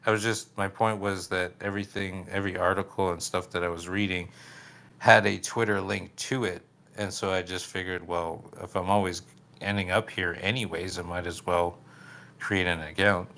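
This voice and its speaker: A man's voice: low-pitched, level tone, moderate pace, sharp tone. A man hosting a podcast in casual chat, easygoing and playful. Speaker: podcast host.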